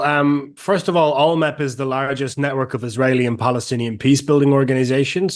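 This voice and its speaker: A middle-aged man with an Irish accent, answering interview questions as a guest in a measured, explanatory way with some fillers and restarts. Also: medium pitch. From Ireland. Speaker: guest, peace organization director.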